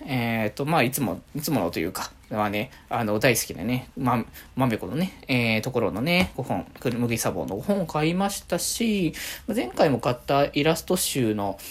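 A man talks alone as a podcast host in a casual, conversational style. His intonation is very lively, rising and dipping often. He rambles and rephrases himself as he goes. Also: medium pitch. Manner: rambling, self-correcting. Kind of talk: casual solo talk.

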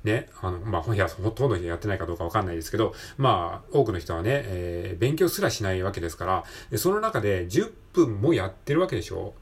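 A man's voice: sits low, lively intonation, fast talker, few pauses, husky. A man hosting a solo podcast, talking casually and encouragingly, often checking in with the listener for agreement. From Japan. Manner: encouraging, conversational, repeatedly seeking agreement. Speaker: podcast host. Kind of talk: casual solo motivational talk.

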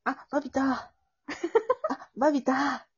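A woman's voice: high-pitched, expressive, takes it slowly, dull audio, voice pushed up. A woman playing a cute, slightly silly young woman in an improvised romantic skit, coy and playfully pouting as she hopes for a confession. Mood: coy, flustered.

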